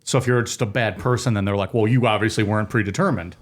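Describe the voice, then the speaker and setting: A man's voice: fairly low pitch, fairly even intonation, fast talker. A man from Ohio hosting a podcast, chatting casually, joking and ranting with frequent laughs. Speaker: podcast host. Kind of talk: casual chat.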